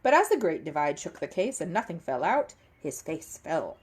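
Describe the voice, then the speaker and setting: A woman narrates a children's book in an expressive read-aloud style. Her pitch moves freely, and her voice has a husky grain. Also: moderate pace. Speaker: storyteller reading aloud.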